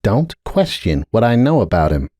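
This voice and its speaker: Guy doing a brooding older mare aunt from a pony story, terse and guarded, soft dark tone, very up and down. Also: fairly low pitch, big pitch swings, normal speed, no room sound, clean studio sound. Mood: stern, cold. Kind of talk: dramatic audiobook character reading.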